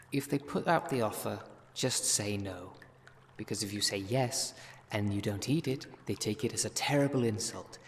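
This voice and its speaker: Seasoned city witch for roleplay audio drama, male performer: lower register, brisk, dryly instructive and conversational, over audible background ambience. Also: slight background noise.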